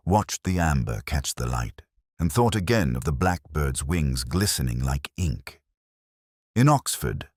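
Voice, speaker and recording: Very deep-voiced man narrating a detective story. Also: darkish tone, no room sound, studio quality.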